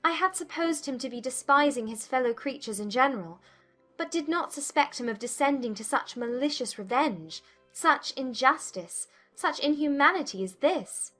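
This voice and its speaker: An actress playing a spirited, indignant young gentlewoman in a dramatized audiobook, with emphatic, formal period speech. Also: high-pitched, expressive, moderate pace, faint music behind, full character voice. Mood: troubled, indignant. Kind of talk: dramatized audiobook character acting.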